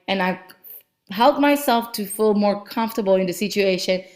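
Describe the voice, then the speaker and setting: A woman hosts a solo motivational talk, speaking English as a second language. Her delivery is casual and chatty, dotted with fillers and quick check-ins with the listener. Her pitch sits in an ordinary middle range. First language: not English. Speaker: podcast host and business coach. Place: lives in Cape Town.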